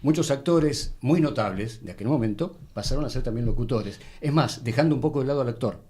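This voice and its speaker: An Argentine man with a thick, full voice, an actor reminiscing conversationally as an interview guest in a measured, courteous way. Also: mid-range voice, darkish tone.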